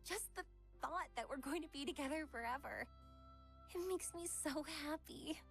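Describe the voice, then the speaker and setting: A woman's voice: sits high, normal speed, vocal fry, a bit bright, soft background music. A woman voicing a refined, affectionate young psychologist in a visual novel game, with genteel, careful phrasing. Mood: loving.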